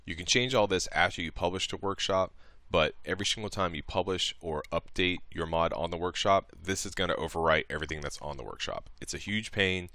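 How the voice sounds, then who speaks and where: A man presenting a casual, step-by-step software tutorial in an informal, explanatory way. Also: deep voice, a little fast, hardly any pauses, dry recording.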